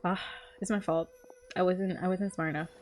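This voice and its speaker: A woman's voice: lowish voice, steady tone, moderate pace. A young woman streaming a game, giving casual, playful, slangy reactions. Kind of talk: casual live reaction commentary. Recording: game music behind the voice.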